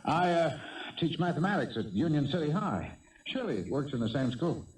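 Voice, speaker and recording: An anxious, high-voiced husband. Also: male voice, sing-song. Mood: nervous. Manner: nervous, halting, protesting. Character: anxious husband, mild schoolteacher, worried for his family. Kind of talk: 1970s radio drama acting. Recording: distant old radio broadcast.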